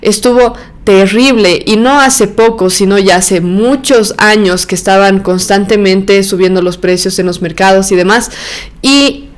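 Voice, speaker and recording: Woman podcast host, smooth clear voice, mid pitch, chatty opinionated commentary, many fillers. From Argentina and Bolivia.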